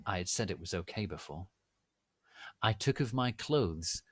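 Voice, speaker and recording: A man giving a dramatic, deadpan reading of a story in a deliberate, theatrical manner. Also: sits low, dry recording. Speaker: reader of a fan fiction story.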